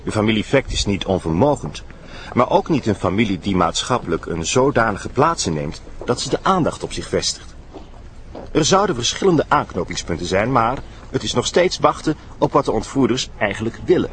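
Male news reporter, lowish, animated, formal, eager, audio drama, faint background noise. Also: moderate pace. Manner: formal, presenting.

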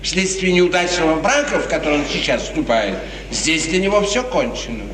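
A man's voice: fairly high pitch, animated, moderate pace, close to an everyday voice. Scheming chamberlain type, male actor, formal stage diction; noisy, echo-laden vintage radio play recording. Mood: disdainful. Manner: formal, deferential, theatrical. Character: smooth, scheming male courtier serving a prince. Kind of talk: 1950s radio drama acting. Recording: echoey, noisy, distant 1950s radio recording.

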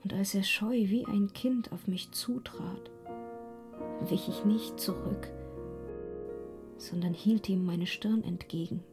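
An actress playing a sorrowful young woman who narrates in a hushed, intimate radio play style. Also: medium pitch, whispered. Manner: hushed, slow, deliberate. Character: sorrowful young woman quietly recounting her ordeal. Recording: guitar and piano music behind. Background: guitar and piano.

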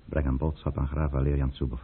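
An anguished aristocrat in a vintage radio drama. His voice is extremely low and heavy with burden. The recording is narrow, muffled and clearly noisy. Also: little pitch movement, fast talker. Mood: weary, secretive. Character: anguished, burdened nobleman, addressed as excellency.

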